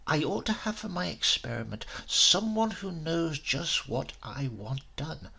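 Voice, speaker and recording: A man's voice: a little high, expressive, a little slow, vocal fry, bright, forceful tone, husky, full character voice. A man voicing a callous, obsessed Italian professor in a horror story, pompous and theatrical. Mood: brooding.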